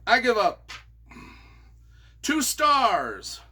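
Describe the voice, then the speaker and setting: A man's voice: extremely high pitch, wildly swooping pitch, very slow, pushed and bright, husky. A man reading reviews aloud and reacting off the cuff as their author, with a theatrical, emphatic, mock-exasperated delivery.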